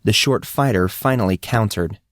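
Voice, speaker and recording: A man's voice: on the low side, normal speed, no room sound, clean studio sound. A man reading scripted fiction as an audiobook narrator.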